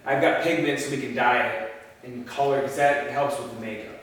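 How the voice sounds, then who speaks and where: A man's voice: mid-range voice, steady tone, brisk, fairly bright tone. A man from a film crew chatting casually as he plans practical effects. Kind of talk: casual chat. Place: indoors in a reverberant room. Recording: echoey room, distant mic, faint noise.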